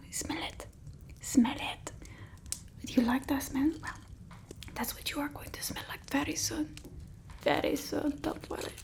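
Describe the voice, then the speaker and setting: A woman playing a bossy, fussy old-world vampire mistress in an ASMR roleplay, whispering slowly and breathily. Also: sits high, vocal fry, exaggerated voice. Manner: slow, hushed, haughty, fussing over the listener.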